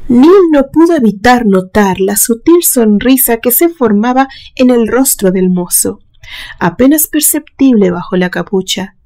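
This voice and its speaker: A woman's voice: medium pitch, mellow. A woman narrating a scripted suspense story in a solemn, dramatic storytelling manner. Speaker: narrator, literary channel host.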